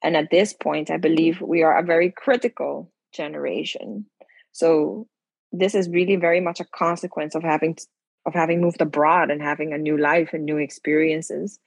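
A young woman hosts a podcast with a lowish, husky voice. She speaks thoughtfully and at a measured pace. The sound is dry and close. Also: fairly even intonation, dry recording, rough recording. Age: young adult. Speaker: podcast host. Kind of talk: reflective podcast introduction.

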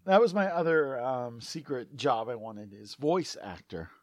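A man co-hosting a casual comedy podcast, joking and self-deprecating, his voice going hoarse from strain. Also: mid-range voice, animated, measured pace, no room sound, clean studio sound. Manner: jokey, self-deprecating, tries silly cartoon voices. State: losing his voice, throat clearing. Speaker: podcast co-host.

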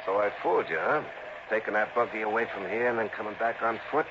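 A man playing a ruthless, taunting gunman in a radio crime drama, talking in rough slangy threats. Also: lowish voice, fast, lightly acted. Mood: menacing, gloating. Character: ruthless gun-toting male villain, menacing and taunting. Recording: noisy, dull old radio broadcast.

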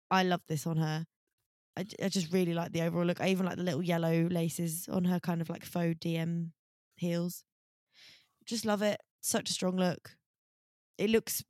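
A woman's voice: fairly low pitch, a little mellow, no echo, clean studio sound. A woman co-hosting a podcast, chatting casually about fashion in a blunt, sweary, enthusiastic way. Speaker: podcast co-host. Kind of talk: casual chat, fashion commentary.

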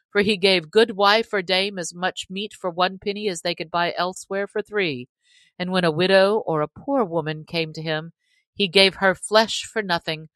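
A woman narrates an old-fashioned tale in a lowish voice. Her delivery is slow and expressive, shaped for storybook listening, and the recording is dry. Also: dry recording. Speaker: audiobook narrator.

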